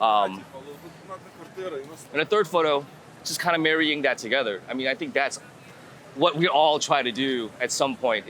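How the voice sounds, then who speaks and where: Male interviewee, bright voice, informal rambling explainer, outdoor city street with faint traffic noise. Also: medium pitch. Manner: rambling, informal, thinking aloud with repeated phrases. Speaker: guest street photographer. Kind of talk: casual conversational explanation while out shooting.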